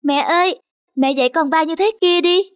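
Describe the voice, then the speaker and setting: A woman's voice: extremely high pitch, normal speed, music in the background. A woman voicing a young, childlike seagull chick in a cartoon, pleading and eager to fly. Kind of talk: cartoon character acting.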